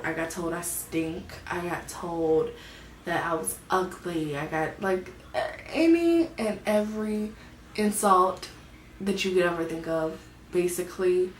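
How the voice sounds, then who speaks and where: A woman, vlogging about her own life in a soft, hesitant way, often searching for words. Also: fairly low pitch, a little hiss or hum. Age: 20s. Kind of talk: personal confessional vlog. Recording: echoey room, mic at a distance.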